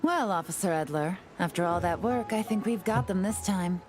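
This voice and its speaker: A woman playing a composed, shrewd intelligence agent in a video game, speaking smoothly over music. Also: mid-range voice, moderate pace, lightly acted. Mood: satisfied, composed. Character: poised, shrewd adult female intelligence agent.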